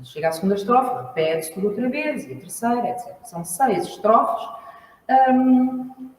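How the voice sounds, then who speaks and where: Woman with a Lisbon accent presenting a song on stage; measured, explanatory delivery in a long-reverb hall. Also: on the high side, lively intonation, slight background noise. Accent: Lisbon Portuguese. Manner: measured, explanatory, pausing to search for words. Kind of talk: spoken introduction at a live event. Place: large hall at a library event. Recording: echoey hall, mic at a distance.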